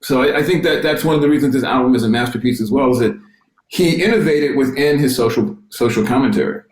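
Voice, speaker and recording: A man talking on a discussion panel, thoughtful and unhurried, circling back to rephrase himself as he goes. His voice sits at an ordinary middle pitch. The sound carries a roomy echo around it. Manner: reflective, halting with restarts. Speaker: panelist, music journalist.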